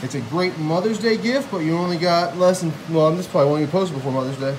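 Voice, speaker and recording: A man's voice: on the high side, fast talker, noisy background. A tired man in his thirties joking his way through a woodworking how-to in his home garage. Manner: joking, self-mocking, talks while working. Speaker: vlogger building a squirrel table. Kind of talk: casual how-to woodworking vlog. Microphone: handheld phone camera.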